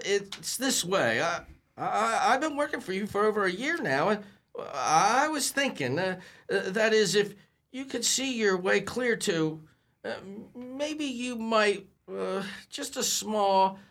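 Man playing nervous lovesick young fellow, stammering, flustered, swooping pitch, bright voice, radio comedy. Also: expressive, slow pace, heavily acted. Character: nervous, bashful, bumbling young male store clerk in love. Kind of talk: old-time radio sitcom acting.

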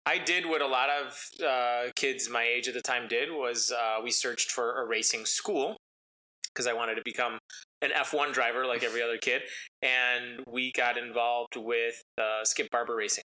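A man with a very bright, forward voice, talking through his background in an easygoing interview. His first language is Italian. He rambles and fills pauses with ums and uhs. His pitch sits in a middle range for a man. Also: steady tone, low-quality recording. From United States. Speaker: interview guest, racing driver. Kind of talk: casual storytelling interview.

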